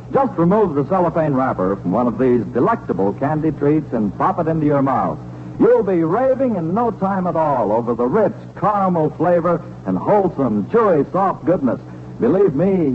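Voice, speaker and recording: Casting: male American announcer, vintage radio ad, cheerful sales patter, lively highish voice. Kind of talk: 1940s scripted radio commercial. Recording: muffled, noisy 1940s radio recording.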